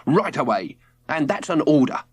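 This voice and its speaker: A man voicing an angry, bossy park keeper who barks orders in a gruff, commanding way. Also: on the high side, big pitch swings, normal speed, voice pushed up.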